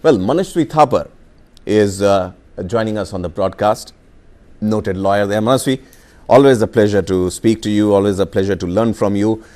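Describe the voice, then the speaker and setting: Low-pitched Indian male news anchor. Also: moderate pace, a little mellow. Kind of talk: live news presenting and interviewing.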